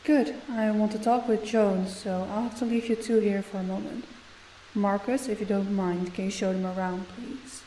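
A woman's voice: mid-range voice, steady tone, moderate pace, mellow, natural voice, low-quality recording. A woman playing a stern, composed company boss in an audio roleplay, giving orders with authority. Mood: firm. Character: stern, commanding female company boss, composed under stress. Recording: faint background hiss.

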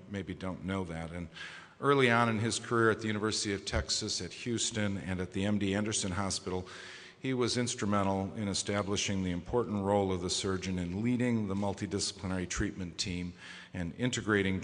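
A very low-pitched man addresses a large conference hall from a podium microphone, his voice carrying a long reverberant tail. He speaks in a formal, measured way, often pausing on hesitation fillers as he presents awards. The sound is that of an echoey hall. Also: fairly bright tone. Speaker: society president presenting awards. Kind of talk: formal award ceremony speech.

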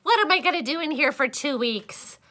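Brief: an impatient, dramatic caterpillar, played by a woman in a storybook voice, lively, high-pitched. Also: normal speed. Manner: whiny, excitable, dramatic. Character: impatient young male caterpillar, fidgety.

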